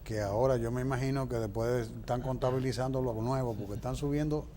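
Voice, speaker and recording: A man's voice: medium pitch, steady tone, fast talker, background noise. A man with a Dominican Spanish accent giving opinionated, explanatory political answers as an interview guest, emphatic and fond of rhetorical questions.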